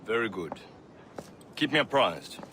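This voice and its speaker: A dignified master of assassins in a game, stern in manner. His voice is bright and forceful over faint noise. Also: lowish voice, sing-song, a little slow, heavily acted. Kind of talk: video game cutscene acting.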